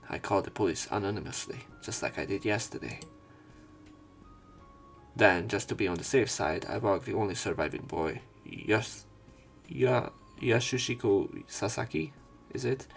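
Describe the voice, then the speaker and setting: A man reading visual novel narration and dialogue aloud as a let's player, casual and a little halting. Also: fairly low pitch, gravelly, soft background music.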